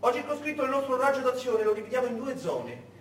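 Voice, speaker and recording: An actor playing a brisk, smugly commanding German major who gives his officers clipped orders and prods them with check questions, as in a stage monologue. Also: extremely high pitch, big pitch swings, voice pushed up. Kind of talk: theatrical monologue acting. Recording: echoey room, distant mic, faint noise.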